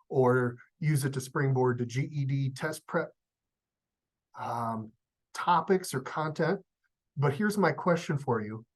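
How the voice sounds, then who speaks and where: A workshop facilitator reflecting on the discussion so far, thoughtful and searching for words. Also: mid-range voice.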